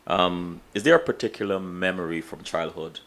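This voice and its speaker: A man's voice: deep voice, moderate pace, a bit bright. A man hosting an interview, asking long, searching questions with many stammered restarts. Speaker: interview host.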